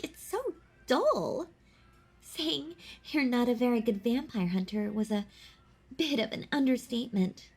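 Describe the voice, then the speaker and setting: A woman performs a predatory female vampire in an intimate roleplay drama. Her voice is fairly high and breathy, and she draws her words out very slowly. She is mocking and possessive, talking down to her prey with teasing confidence. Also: heavily acted. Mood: amused, scornful. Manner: mocking, condescending, slow and deliberate.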